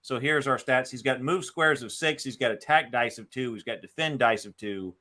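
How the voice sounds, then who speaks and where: A man's voice: mid-range voice, normal speed, pushed and bright. A man hosting a solo board game session, talking casually through his moves and dice counts as he thinks aloud. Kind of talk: casual live play-by-play with narrated moves.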